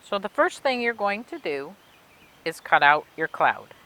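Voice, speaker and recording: A woman reading and explaining things for children in an expressive way, with simple, clear phrasing, like a children's librarian. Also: mid-range voice, a little slow. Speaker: children's librarian reading a story and demonstrating a craft. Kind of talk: read-aloud storytelling and craft instructions for children.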